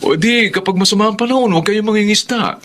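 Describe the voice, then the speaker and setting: A man's voice: high-pitched, fast, fairly bright tone, slight background noise. An actor playing a polite, helpful young male guest in a Filipino radio drama. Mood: curious, eager.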